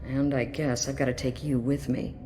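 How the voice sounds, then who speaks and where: A woman's voice: extremely low pitch, level tone, moderate pace, warm tone, a little hiss or hum. Weary, resigned police detective woman. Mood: reluctant, resigned. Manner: resigned, matter-of-fact. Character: weary, reluctant police detective following orders. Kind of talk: video game dramatic acting. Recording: music behind the voice.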